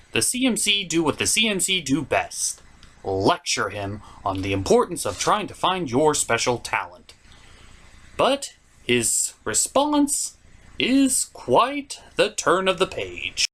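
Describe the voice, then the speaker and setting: A performer voicing a theatrical gentleman puppet host, reviewing a cartoon from a script with dramatic pauses and mock outrage. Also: mid-range voice, big pitch swings, slight background noise.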